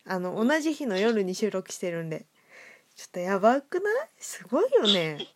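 A woman's voice: mid-range voice, sing-song, moderate pace. A woman hosting a casual chat with a guest, giggly and self-deprecating in the way she talks. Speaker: host and writer of an audio drama show.

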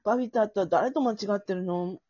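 A cute, slightly silly young woman acted out by a woman in a romantic radio skit. She is coy and girlish, pouting sweetly as she waits to be asked out. Her voice is a little husky, on a slightly muffled recording. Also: mid-range voice, fast, dark tone, voice pushed up, close to an everyday voice. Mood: coy, flustered. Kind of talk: improvised romantic audio skit.

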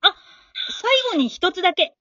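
Woman acting a high-voiced, supportive fan. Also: lively intonation, normal speed, no room sound, dull audio, exaggerated voice. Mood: knowing, secretive. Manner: polite, supportive, gently advising. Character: friendly, encouraging female fan with a secret, chatting politely. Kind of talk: amateur radio drama acting.

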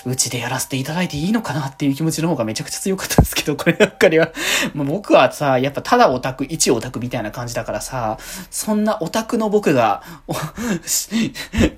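A woman with a low, husky voice hosts a casual solo show. Her pitch swings widely as she rambles on in an animated, giggly way. The talk is loose and informal, like an audio diary. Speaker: solo podcast host and streamer. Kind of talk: casual diary-style chat.

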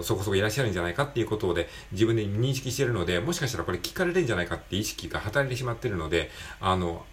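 Fast-talking, low-voiced rambling man. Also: slight background noise. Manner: rambling, self-deprecating, digressive. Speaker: solo audio show host. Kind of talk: improvised casual monologue.

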